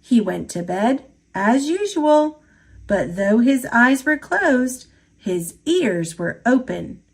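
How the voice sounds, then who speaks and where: A woman reading a children's picture book aloud in an expressive storytelling style. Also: fairly high pitch, slow pace, slightly rough. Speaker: storybook reader.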